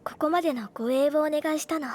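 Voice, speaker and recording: A woman's voice: high-pitched, fast talker, darkish tone, natural voice. A woman voicing an earnest, guileless young girl in an anime game, speaking in short plain phrases. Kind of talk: Japanese anime game voice acting. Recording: background music behind the voice.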